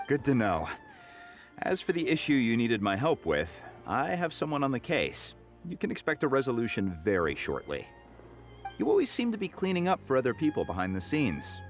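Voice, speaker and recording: A man's voice: mid-range voice, huge pitch swings, moderate pace, faint music behind. An actor playing a discreet, unruffled male quartermaster in a video game, cordial and matter-of-fact. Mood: businesslike. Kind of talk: video game character dialogue.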